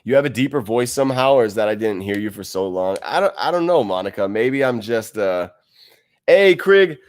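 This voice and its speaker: A man's voice: lowish voice, lively intonation, moderate pace. A man hosting a casual livestream from a wide room at home, close to a desk mic, rambling and laughing as he reads viewer comments. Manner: laid-back, rambling, laughs often. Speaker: livestream host.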